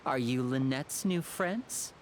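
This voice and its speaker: A woman voicing a courteous, smooth-talking young man, a protective brother, in a video game. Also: very deep voice, slow, slight background noise. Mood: courteous, reassuring. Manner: polite, smooth, theatrical.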